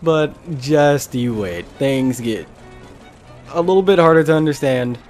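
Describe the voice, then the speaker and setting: A man's voice: mid-range voice, lively intonation, unhurried, a little mellow. A man doing casual Let's Play commentary, rambling and thinking aloud as he plays. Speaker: gaming video host. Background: faint game music.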